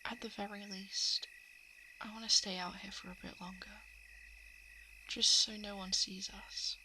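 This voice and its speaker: A woman playing a shapeshifting monster who poses as a lovelorn crush in an ASMR roleplay, speaking softly and hesitantly, often slipping into whispers. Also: medium pitch, flat delivery, unhurried, background noise, lightly acted. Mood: tender coaxing. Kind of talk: ASMR roleplay, intimate confession. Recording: night insect and frog ambience. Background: crickets, frogs and insects.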